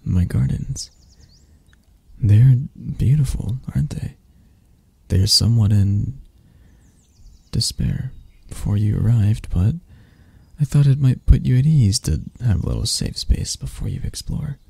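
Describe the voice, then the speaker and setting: A man voicing a possessive, teasing spider-creature lover in an intimate ASMR roleplay, with a husky, breathy, gravelly murmur. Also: medium pitch, dry recording, heavily acted. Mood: gentle, predatory. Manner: slow, teasing murmur with soft chuckles and near-whispers.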